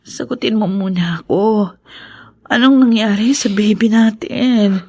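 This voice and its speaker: An actress playing a distraught, bitter young pregnant wife in a melodramatic radio drama style. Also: female voice, medium pitch, a little slow, mellow. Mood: weak, anxious. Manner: emotional, melodramatic. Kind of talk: Tagalog radio drama acting.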